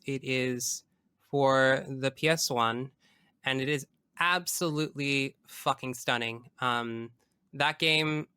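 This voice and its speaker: A woman's voice: very deep voice, bright, forceful tone, clean tone, no room sound, clean studio sound. A young woman chatting casually and emphatically as a guest in an interview. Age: young adult. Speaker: podcast guest. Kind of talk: casual interview chat about video games.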